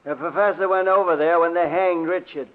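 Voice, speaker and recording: Through a tinny, narrow old radio recording comes a man acting out a folksy country sheriff with a rural American accent. His voice sits fairly high, and he drawls in plain-spoken, gossipy small-town fashion. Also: fairly even intonation, brisk, close to an everyday voice. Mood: grave, gossiping. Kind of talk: 1950s radio drama acting.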